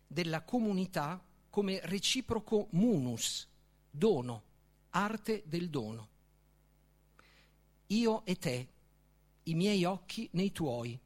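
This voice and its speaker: Male author-reader, high-pitched voice with lively intonation, theatrical and deliberate, for expressive literary prose. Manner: solemn, theatrical, deliberate phrasing with dramatic pauses. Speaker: author reading from their own book. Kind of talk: expressive literary reading aloud.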